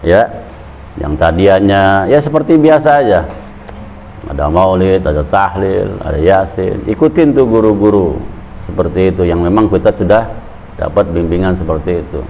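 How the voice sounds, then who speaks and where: A man's voice: low-pitched, warm tone, slight background noise, rough recording. A man giving a colloquial religious sermon at a weekly gathering, talking conversationally, repeating phrases and building to emphatic stresses. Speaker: Islamic preacher.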